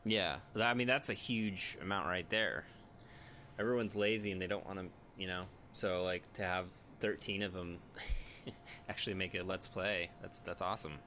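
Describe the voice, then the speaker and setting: A man's voice: deep voice, fairly even intonation, moderate pace, sharp tone, a little hiss or hum. An American man hosting an interview, chatting casually and joking, laughing often. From United States. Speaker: interviewer, host.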